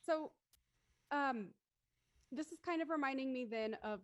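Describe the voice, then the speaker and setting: A woman hosting a live-stream panel discussion, feeling her way through questions with halting, circling phrasing. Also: sits high. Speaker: host, moderator.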